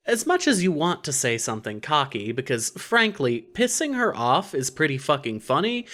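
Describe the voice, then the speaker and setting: Lively low-key wry female narrator. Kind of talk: scripted second-person fan fiction narration.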